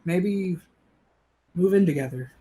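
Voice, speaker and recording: A man's voice: sits high, slow pace, mellow. A man playing a down-on-his-luck, bickering but conciliatory neighbor in a stage play, talking in a wry, colloquial way. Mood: earnest, pleading.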